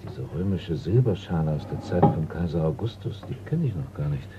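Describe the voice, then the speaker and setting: An actor playing a polite, precise middle-aged art appraiser in a German radio crime play. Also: male voice, extremely low pitch, lively intonation, fairly quick. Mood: precise, tactful. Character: seasoned male art appraiser, deferential, precise, discreet. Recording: dull, noisy old radio recording.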